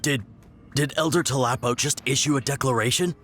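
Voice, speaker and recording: Man playing a mid-pitched suspicious priest. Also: a little fast, natural voice. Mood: astonished. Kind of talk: video game voice acting. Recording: music under the voice.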